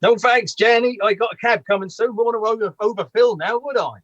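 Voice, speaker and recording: A sheepish pub customer, played by a man in a radio comedy. The voice is high and animated, and the recording is close, dry and rather dull. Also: fairly quick, no echo. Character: sheepish male pub customer, declining drinks. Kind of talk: radio comedy play reading.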